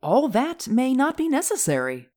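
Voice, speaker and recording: A man voicing an affectionate young woman in an audiobook, raising his voice high and drawing out her words. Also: wildly swooping pitch, normal speed, a little mellow, heavily acted. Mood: fond. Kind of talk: audiobook character voice.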